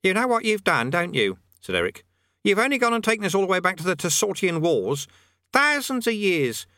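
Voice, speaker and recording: A man's voice: sits high, sing-song, brisk, pushed and bright, no echo, clean studio sound, voice pushed up, heavily acted. A man voicing a pert, whiny teenage boy who sounds like a know-it-all. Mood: accusing. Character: cheeky teenage boy demon summoner.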